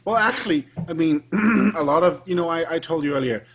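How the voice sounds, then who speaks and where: A man's voice: fairly high pitch, brisk, breathy edge. A man who is a guest on a casual podcast, explaining things and often restarting his sentences. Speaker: guest Let's Player.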